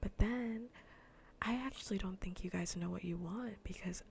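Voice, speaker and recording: A woman livestreamer chatting casually in a soft, breathy near-whisper, rambling bluntly and sardonically with plenty of swearing in an ASMR style. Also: mid-range voice, normal speed, a little hiss or hum. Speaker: livestreamer hosting an ASMR gaming stream.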